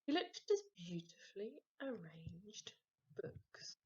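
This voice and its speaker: A woman narrating a children's story expressively, with emphatic delivery and dramatic pauses. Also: medium pitch, very animated, breathy edge, lo-fi sound.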